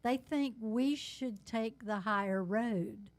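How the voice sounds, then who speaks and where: Brief: blunt Southern woman guest, unhurried, dry close mic. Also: a little high, dry recording. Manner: blunt, opinionated. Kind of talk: casual political talk.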